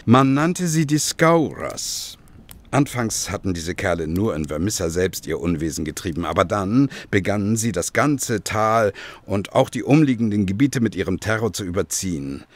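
A male actor plays a former detective with a very deep voice, looking back on a dangerous past. He tells it gravely and with measured drama, in the manner of a radio play. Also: animated, close to an everyday voice. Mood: grave, ominous. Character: John Douglas, grave former Pinkerton detective telling his past. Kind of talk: German radio play acting.

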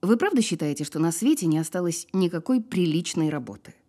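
A woman's voice: lowish voice, animated, warm tone. An actress playing a wry, guarded woman in an audio drama, sparring with dry sarcasm. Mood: suspicious, sardonic. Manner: dry, sardonic, unhurried sparring. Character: wry, guarded woman who survived a fall. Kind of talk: naturalistic audio drama acting.

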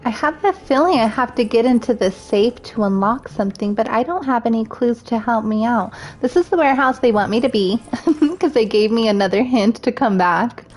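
A woman's voice: fairly high pitch, animated, normal speed, a little mellow. A woman with a scratchy voice chatting while she plays a game and thinks aloud. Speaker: gaming streamer. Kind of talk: let's play commentary.

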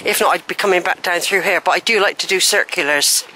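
A woman's voice: on the low side, quick pace, noisy background. An older woman, chatting and thinking aloud as she films a woodland walk, a little wheezy. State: a bit wheezy. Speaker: walking vlogger. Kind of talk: casual walking commentary. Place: wooded track outdoors. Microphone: handheld camera while walking.

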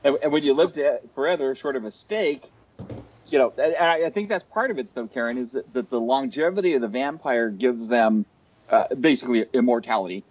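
A man's voice: medium pitch, a little fast, a little mellow. Rambling man moderating a video call panel. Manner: rambling, many restarts and fillers. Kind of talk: casual panel discussion. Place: home room. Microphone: headset over video call. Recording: muffled video call audio.

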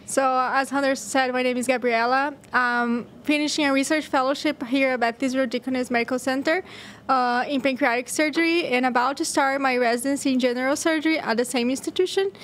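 A woman's voice: high-pitched, steady tone, brisk, slight background noise. A woman in her twenties answering casually as a guest in a podcast interview, speaking into a studio microphone. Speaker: podcast guest, surgical research fellow and mentor. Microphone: desk microphone on boom arm.

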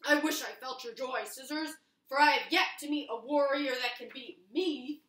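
Woman as a proud, bright-voiced rock warrior. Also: high-pitched, normal speed. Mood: wistful. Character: proud rock warrior, blunt, unbeaten and ready to fight. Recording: roomy indoor echo.

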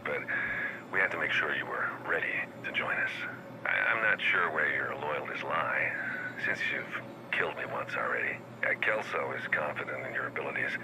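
A very deep, calm male villain for a video game. He is a rogue leader who tests and taunts in measured, ominous phrases, with clear noise around him. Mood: mock welcoming, then guarded, wry. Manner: measured, drawn-out, ominous delivery. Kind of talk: scripted video game dialogue. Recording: clear background ambient noise.

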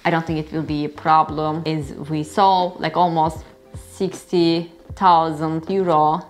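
A young woman from Turkey with a Turkish accent, explaining things casually and directly to viewers in a conversational vlog style. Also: sits low. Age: young adult. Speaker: vlogger and engineer. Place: living room, indoors.

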